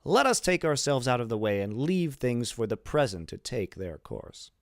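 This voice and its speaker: Scheming male ship's officer for a classic storybook, voiced by a male reader: insinuating, measured, animated pitch, close dry sound. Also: gravelly, no room sound. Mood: falsely agreeable. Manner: insinuating, measured, formally worded. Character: scheming, smooth-tongued, self-serving male ship's officer.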